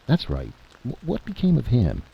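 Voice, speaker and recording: A man's voice: mid-range voice, huge pitch swings, moderate pace, creaky, voice pushed up. A man voicing a troubled, incredulous president, questioning and hesitant, in a dramatic reading. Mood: troubled disbelief. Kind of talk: dramatic audiobook-style reading. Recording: dull lo-fi recording with noise.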